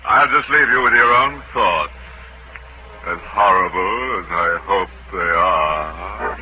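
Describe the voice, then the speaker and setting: An American actor playing a sardonic, gleefully macabre host of a 1940s radio mystery, punning with mock menace. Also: male voice, low-pitched, lively intonation, slow pace. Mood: mock-sinister. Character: gleefully macabre male host with gallows humour. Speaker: horror show host. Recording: muffled, noisy old radio recording.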